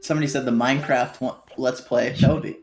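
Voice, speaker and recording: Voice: mid-range voice, steady tone, fast, vocal fry, darkish tone, roomy sound, faint music behind. A co-commentator doing casual game commentary, teasing the others and laughing a lot.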